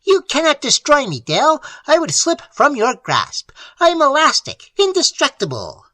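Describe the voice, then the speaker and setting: A reader voicing a small, malicious male demon familiar who taunts his master in a shrill, gleeful, mocking way. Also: wildly swooping pitch, moderate pace, sharp tone, dry recording, heavily acted.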